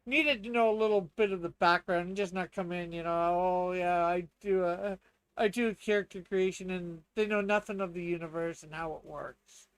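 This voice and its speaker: A man's voice: high voice, steady tone, moderate pace, smooth, no echo, rough recording. A man chatting casually and a little hesitantly as co-host and player on a tabletop game livestream.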